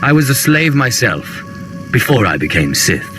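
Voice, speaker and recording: A man voicing a stern, commanding and principled dark lord in a video game, with theatrical delivery. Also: mid-range voice, sing-song, moderate pace, music in the background, lightly acted. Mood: probing. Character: male Sith lord, former slave, principled and commanding.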